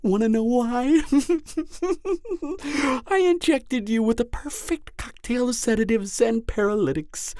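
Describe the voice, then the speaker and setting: Female serial killer, audio drama, sadistic glee, mocking, unhurried delivery, close dry sound. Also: fairly high pitch, animated, dark tone, no echo. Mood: gleeful, mocking. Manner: theatrical, taunting, frequent cackles and breathy whispers. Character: gleeful sadistic cannibal serial killer woman, mocking, theatrical. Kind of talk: dramatic audio roleplay to the listener.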